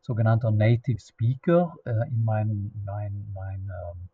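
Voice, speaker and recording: Slow, halting man with a Swedish accent. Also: on the low side, slightly rough, no echo, phone-quality sound. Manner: halting, searching for words, many restarts. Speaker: podcast host. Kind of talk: unscripted solo podcast monologue.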